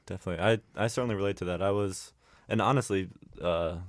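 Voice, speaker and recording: A man with a low voice hosts a podcast chat. He gropes for words, with plenty of ums and self-corrections. The recording is dry and close. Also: no room sound. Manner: halting, many ums and restarts. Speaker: podcast host. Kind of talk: casual interview chat.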